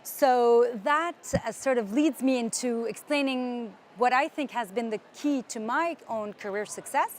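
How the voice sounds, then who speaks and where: A woman's voice: a little high, unhurried, few pauses, a little hiss or hum. A middle-aged woman from Lebanon, speaking as a guest on a conference panel, telling her story in a confident, emphatic way. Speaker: panel guest, founder of a nonprofit.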